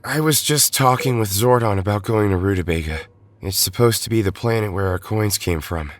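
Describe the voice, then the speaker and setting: An actor playing an earnest, hesitant young hero in an audio drama. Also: male voice, on the low side, fairly quick, close to an everyday voice. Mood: hopeful.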